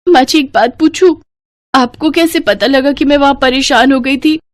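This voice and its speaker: A woman's voice: high-pitched, moderate pace, dry recording, studio quality, voice pushed up, lightly acted. A woman voicing a young, overworked newly married daughter-in-law in a cartoon story, earnest and easily fed up. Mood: tearful, contrite. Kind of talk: Hindi cartoon story dialogue.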